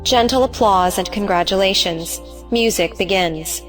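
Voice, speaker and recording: A woman reading stage directions as scripted narration, measured and matter-of-fact. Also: medium pitch, fairly bright tone, soft background music, poor audio.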